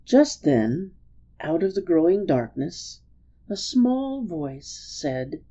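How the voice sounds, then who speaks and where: A woman's voice: on the low side, sing-song, slow pace, warm tone, husky, highs cut off. A woman storyteller giving a dramatic reading of a story.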